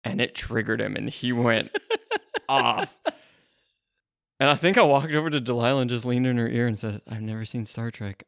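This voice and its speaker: Gravelly-voiced man telling awkward stories. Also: medium pitch, normal speed, long pauses, fairly bright tone, no echo, studio quality. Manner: awkward, self-mocking storyteller. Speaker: podcast co-host. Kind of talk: casual chat about the Bible. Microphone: close podcast microphone.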